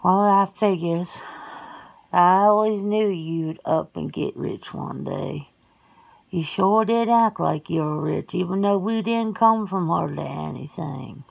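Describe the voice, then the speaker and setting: A woman voicing a folksy, blunt, centuries-old werewolf homebody in an ASMR roleplay, suspicious and quick to exclaim. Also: fairly low pitch, gravelly, muffled recording, full character voice. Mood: indignant, sassy.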